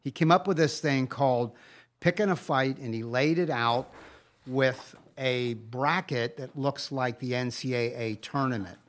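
Man, mid-pitched voice, podcast co-host, casual rambling storyteller, chatty delivery. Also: measured pace. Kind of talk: casual conversational podcast talk. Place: podcast recorded live before a camera.

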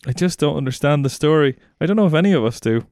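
A man's voice: a little high, animated, fast, darkish tone, dry recording, studio quality. A man co-hosting a comedy podcast with sweary, sarcastic banter and mock-serious announcing. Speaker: podcast co-host.

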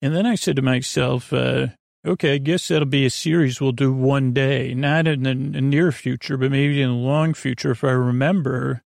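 A man's voice: medium pitch, fairly even intonation, moderate pace, gravelly, darkish tone, no echo, studio quality. A man hosting a bedtime podcast, talking in a meandering, hesitant, soothing way that keeps drifting into digressions. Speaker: podcast host. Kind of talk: rambling bedtime story podcast monologue.

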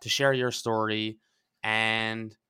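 A man's voice: on the low side, level tone, slow, sharp tone, smooth, dry recording, clean studio sound. A man hosting a podcast, upbeat and enthusiastic as he introduces his guest. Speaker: podcast host.

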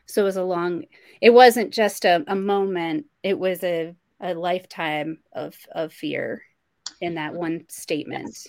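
A woman interviewing in a gentle conversational way, phrasing questions tentatively and rewording them as she goes. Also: fairly low pitch, unhurried.

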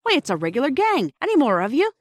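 A woman's voice: sits high, wildly swooping pitch, fast talker, dry recording, clean studio sound, pitched above the performer's own voice. A woman voicing a stern but kindly male station master who scolds in old-fashioned slang, in a storybook reading.

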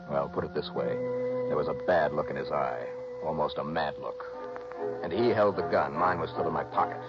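Very deep hard-boiled detective. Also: male voice. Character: cool, hard-boiled male investigator narrating his case. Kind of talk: scripted first-person radio drama narration. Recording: dull old radio recording, music behind.

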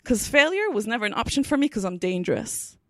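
A woman's voice: a little high, sing-song, fast, dry recording, clean studio sound. A woman in her twenties performing a spoken word poem with a rhythmic, emphatic delivery at a live panel. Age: 20s.

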